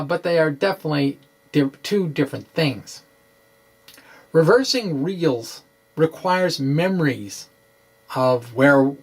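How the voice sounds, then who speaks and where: A middle-aged man giving a dramatic reading of a science explainer, with deliberate, halting, theatrical phrasing, close to the microphone in a small room. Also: a little high. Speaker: science blogger presenting. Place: small indoor room with bookshelves. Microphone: microphone close in front on a stand.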